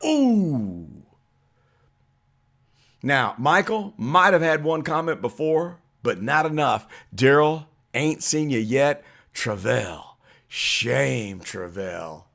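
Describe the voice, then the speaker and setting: A hoarse man hosting a sports vlog, arguing his point with emphatic, call-out energy. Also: on the high side, fairly bright tone. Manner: emphatic, preachy, calls people out. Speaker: channel host. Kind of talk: fired-up sports opinion vlog.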